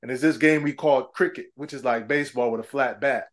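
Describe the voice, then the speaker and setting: A man's voice: mid-range voice, fairly quick. A middle-aged man from Trinidad, a former pro basketball player, chatting casually as an interview guest, laughing often and saying "man" and "bro".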